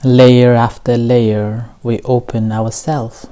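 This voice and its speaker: Very slow, mellow male meditation teacher. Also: mid-range voice, flat delivery, smooth. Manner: slow, gentle, with long pauses between short phrases. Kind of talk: guided meditation dharma talk.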